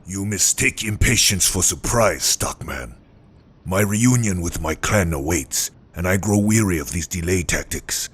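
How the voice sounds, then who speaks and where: A man playing a stern, proud ninja clan warlord and villain, with a gravelly voice and formal, haughty delivery. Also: low voice, animated, measured pace, fairly bright tone, heavily acted. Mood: cold disdain. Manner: formal, haughty, clipped disdain. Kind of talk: audio drama villain acting.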